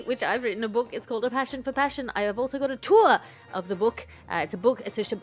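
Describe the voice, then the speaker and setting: Woman hosting a comedy podcast, music behind. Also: mid-range voice. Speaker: podcast host. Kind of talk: comedy panel chat.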